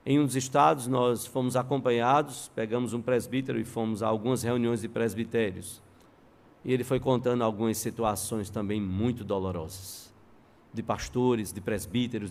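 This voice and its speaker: A Brazilian man preaching a sermon in an emphatic, deliberate way, repeating key phrases and telling stories with rhetorical questions. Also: on the low side, darkish tone. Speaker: pastor preaching. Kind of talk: sermon with storytelling and quoted dialogue. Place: church service before a congregation.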